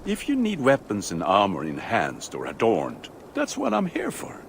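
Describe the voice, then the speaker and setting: An actor playing a confident Viking smith with a measured, self-assured way of talking in a video game. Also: male voice, fairly low pitch, huge pitch swings, gravelly, slight background noise, exaggerated voice. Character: confident Viking smith offering his craft to warriors. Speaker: game character. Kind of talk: game dialogue.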